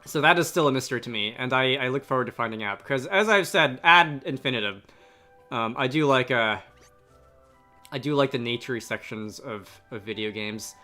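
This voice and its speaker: Bright-voiced man doing game commentary. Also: medium pitch, a little fast, faint music behind. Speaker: Let's Play host. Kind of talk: casual game commentary, reading dialogue aloud.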